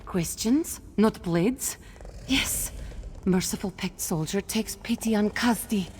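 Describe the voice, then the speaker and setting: A woman's voice: fairly low pitch, darkish tone. A woman playing a wretched, bitter cat-folk captive in a fantasy video game, dramatic and scornful, referring to herself in the third person. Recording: long cavernous reverb.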